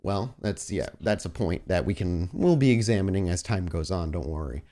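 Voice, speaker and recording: A man's voice: sits low, lively intonation, moderate pace, warm tone. A man narrating as game master of a tabletop roleplaying game, conversational and descriptive, speaking directly to his player. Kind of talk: improvised narration mixed with casual table talk.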